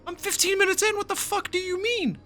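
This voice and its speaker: A man's voice: extremely high pitch, fairly even intonation, brisk, sharp tone. A man giving casual, exclamatory live game commentary, swearing freely and asking baffled questions. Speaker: gaming streamer reacting to a story quest. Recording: game music faintly behind. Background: game music and dialogue.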